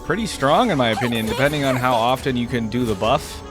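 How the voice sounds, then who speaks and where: A man's voice: mid-range voice, fast talker, over music. A man giving casual, easygoing gaming reaction commentary, informally thinking aloud as he hosts. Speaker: reaction video host.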